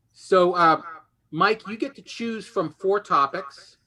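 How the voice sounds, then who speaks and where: A man hosting a casual game show, chatty and halting as he rephrases his explanations. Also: sits high, bright, forceful tone.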